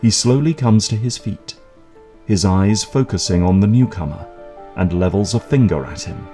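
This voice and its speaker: A man narrating an audio drama in a measured, descriptive way. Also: fairly low pitch, lively intonation, warm tone, soft background music. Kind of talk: scripted narration.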